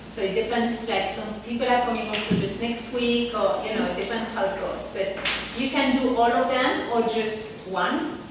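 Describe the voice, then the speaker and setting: A Swiss woman in her forties with a French accent speaks casually to a group, rambling with loose fillers. Her voice is picked up from a distance in a very echoey room. Steady ambient noise sits under her words. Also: a little high, normal speed, few pauses. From Switzerland. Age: 40s. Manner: chatty and loose, many "like" fillers. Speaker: workshop facilitator.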